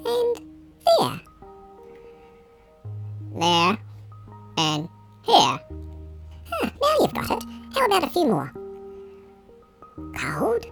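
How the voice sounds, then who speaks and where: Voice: medium pitch, vocal fry, a bit bright, full character voice. A performer voicing small, childlike, quirky cartoon creatures from a picture book, with exaggerated playful delivery. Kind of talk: picture book character voices.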